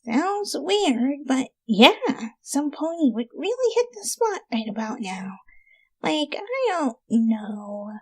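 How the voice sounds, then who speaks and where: A woman's voice: high-pitched, very animated, slow pace, a little mellow, pitched above the performer's own voice, exaggerated voice. A woman voicing a brash, hungry undead pegasus mare in a dramatic story reading, with a slangy, pushy, wheedling manner. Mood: hungry, musing.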